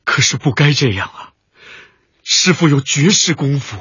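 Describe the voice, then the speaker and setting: An actor playing an earnest, intense martial arts disciple bent on revenge in a radio drama, with a husky, breathy voice. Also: male voice, a little high, lively intonation, moderate pace, a bit bright, no room sound, highs cut off, heavily acted. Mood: worried. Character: earnest male kung fu disciple, obsessed with revenge. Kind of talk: Chinese radio drama acting.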